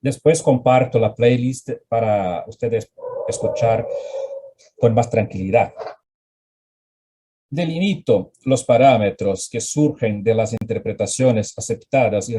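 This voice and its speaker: A man giving an academic lecture on music as a guest lecturer over a video call, pausing often with hesitant fillers and reading out his points in a measured way. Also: mid-range voice, mellow, breathy edge. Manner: hesitant, frequent "eh" pauses between phrases.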